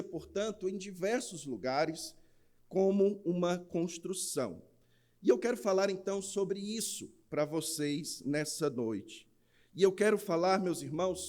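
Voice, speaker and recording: A man with a Northeastern Brazilian accent preaching in church, opening with a jokey rhymed verse before an earnest sermon. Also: sits high. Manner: jokey rhyming recitation, then steady earnest preaching. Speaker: pastor. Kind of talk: sermon with a humorous rhymed recitation.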